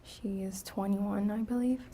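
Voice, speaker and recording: Brief: soft-spoken woman of eighteen from Iraq on the witness stand, flat delivery, mellow tone. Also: mid-range voice, unhurried, slight background noise. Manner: soft-spoken, short quiet answers.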